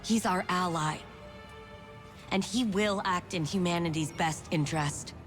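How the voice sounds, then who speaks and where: An actress playing a firm, principled military leader in a video game, deliberate and resolute. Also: female voice, lowish voice, faint music behind, lightly acted. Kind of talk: dramatic video game acting.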